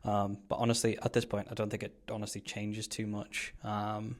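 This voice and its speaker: Man, fairly low voice, casual gameplay commentator. Informal, slangy, explains each play as a fellow player. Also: completely flat delivery, moderate pace. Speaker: card game player commentating his own match.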